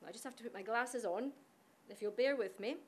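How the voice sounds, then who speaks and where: A middle-aged Scottish woman speaks at a podium mic in a conference hall, her voice sitting a little high. She talks in a chatty way, checking in with the audience as she goes. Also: brisk, breathy edge. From Scotland. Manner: chatty, engages the audience directly. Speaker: university international officer. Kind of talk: conference presentation.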